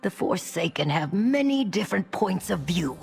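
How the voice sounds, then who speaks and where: An actress playing a weary but defiant undead woman leader in a video game cutscene, deliberate and dramatic. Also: fairly low pitch, sing-song, gravelly. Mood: firm, resolute. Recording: loud music behind the voice. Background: loud music.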